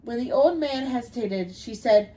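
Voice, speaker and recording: A woman's voice: fairly high pitch, moderate pace, warm tone, slight background noise, poor audio. A young woman with a cold, reading a folk tale aloud on a podcast with small slips and restarts, then chatting casually. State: has a cold. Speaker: podcast co-host.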